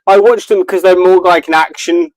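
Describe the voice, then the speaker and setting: A man's voice: high voice, fairly even intonation, moderate pace, no room sound, poor audio. A man chatting casually as a podcast co-host, swearing freely with crude, self-deprecating humour. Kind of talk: casual chat, watch party banter.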